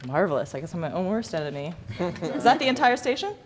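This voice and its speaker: A woman's voice: on the low side, very animated, fast. A woman teacher giving an informal hands-on demonstration to students, with self-deprecating, jokey asides. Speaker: lighting design teacher. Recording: echoey hall, noticeable background noise.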